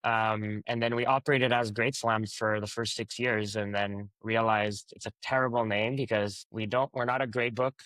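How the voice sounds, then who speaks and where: Low-voiced man, hesitant founder. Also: steady tone, moderate pace, few pauses, a bit bright, no room sound, poor audio. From Canada. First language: Italian. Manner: hesitant, many fillers and restarts. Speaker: startup founder, guest. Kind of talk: conversational interview answers.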